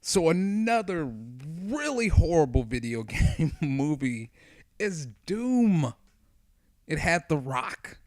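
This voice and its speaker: A man's voice: a little high, big pitch swings, takes it slowly, a little mellow, no room sound, clean studio sound. A man giving casual, opinionated review commentary in an informal, slangy, conversational way. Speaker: video creator giving commentary.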